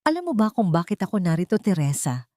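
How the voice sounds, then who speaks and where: A woman's voice: lowish voice, sing-song, fast talker, a little mellow, dry recording. Woman narrator as rich scheming mistress, mock-sweet, taunting, gloating, dry close sound, audio story villain. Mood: venomous, possessive.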